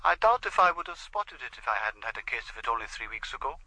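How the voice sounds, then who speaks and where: An actor playing a brisk, confident police doctor who relishes his findings, in a radio drama. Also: medium pitch, very animated, sharp tone, husky, exaggerated voice, low-quality recording. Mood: knowing, relishing. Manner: brisk, knowing.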